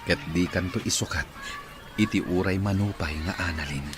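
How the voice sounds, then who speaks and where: A man's voice: low-pitched, moderate pace, lightly acted. A man playing a devoted, reassuring boyfriend in an audio romance drama. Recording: music and outdoor crowd bustle behind.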